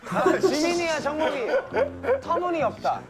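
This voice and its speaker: A man on a variety show calling out excitedly and rapidly. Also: very high-pitched. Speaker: variety show cast member. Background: music.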